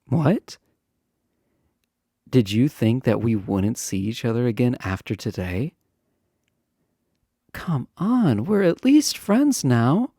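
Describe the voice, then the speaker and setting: Man playing a slow, mellow, flirty college guy. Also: mid-range voice, no room sound, studio quality, exaggerated voice.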